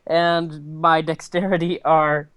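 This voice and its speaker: A male tabletop player with a smooth, clear voice speaks at a slow pace. He is hesitant and casual, often fumbling for his next decision. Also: a little high, little pitch movement, a bit bright, dry recording. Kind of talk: casual game-table chat.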